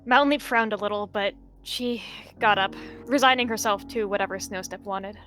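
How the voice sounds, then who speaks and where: A woman narrating her side of a roleplay softly and plainly. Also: medium pitch, normal speed, faint music behind. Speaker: roleplay partner. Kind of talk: narrating a warrior-cat roleplay.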